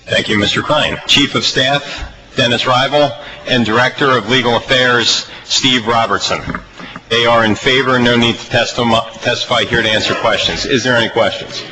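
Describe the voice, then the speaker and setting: A man's voice: medium pitch, steady tone, moderate pace, pushed and bright, a little hiss or hum, poor audio. An American man presiding as chair over a legislative committee hearing.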